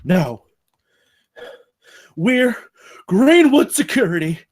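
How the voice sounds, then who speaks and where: A man at a live table read playing a defiant underdog campus security guard, with slow, dramatic delivery. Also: high voice, big pitch swings, full character voice. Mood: grimly triumphant.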